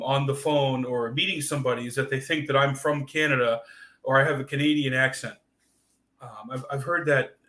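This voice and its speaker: A man co-hosting a loose talk show, telling a story in a rambling way with plenty of fillers and restarts. His voice is bright and forceful. It rings around an echoey room. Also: mid-range voice. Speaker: podcast co-host. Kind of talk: casual chat reading super chats.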